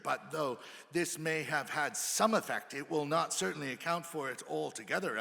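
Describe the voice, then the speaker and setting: A man giving a formal, theatrical dramatic reading of an old historical account, with emphatic phrasing and weighted pauses. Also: medium pitch, sharp tone. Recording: reverberant hall with long echo.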